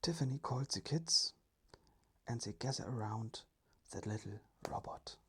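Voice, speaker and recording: A storyteller narrating a scripted children's tale in a soft, breathy ASMR whisper. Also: mid-range voice, expressive, unhurried, noisy background.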